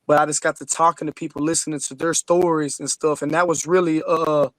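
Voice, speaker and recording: Higher-pitched man telling his story casually. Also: level tone, no room sound. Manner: informal, halting, seeks agreement with tags. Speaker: podcast guest.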